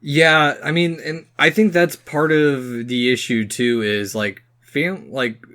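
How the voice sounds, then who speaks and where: A man's voice: mid-range voice, steady tone, slow, fairly bright tone. A man co-hosting a podcast, talking casually and feeling his way through rambling, hesitant phrases. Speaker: podcast host.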